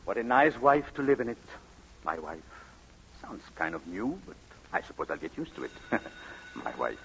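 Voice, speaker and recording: An actor playing a suave, evasive newlywed husband who stammers out smooth excuses in 1940s radio comedy style. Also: male voice, low voice, sing-song, moderate pace, fairly bright tone, a little hiss or hum, heavily acted. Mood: tender, cheerful. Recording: muffled vintage radio broadcast.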